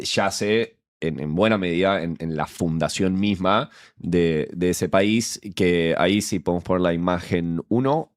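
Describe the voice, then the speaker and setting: A man's voice: low-pitched, a little mellow. A young man with an Argentine Spanish accent, explaining a topic as a show columnist in casual talk, full of fillers and restarts. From born in Guatemala, raised in the US and Argentina. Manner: halting, many fillers and restarts.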